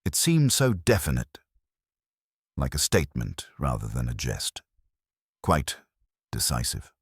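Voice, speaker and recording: A man voicing a shy, nervous woman who works in a library, gentle, careful and hesitant, in a mystery story. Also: rumbling low, huge pitch swings, measured pace, slightly rough, dry recording, clean studio sound, heavily acted. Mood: uneasy hesitant.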